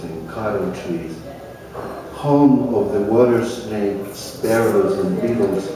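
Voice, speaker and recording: An older Chilean man with a Spanish accent reads his poems slowly and with halting pauses, his voice sitting a little low. He is recorded from across an echoey cafe, with a crowd murmuring behind him. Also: recorded from a distance. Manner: hesitant, halting with many fillers. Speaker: poet at an open mic. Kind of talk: poetry reading. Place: cafe open mic, roomy.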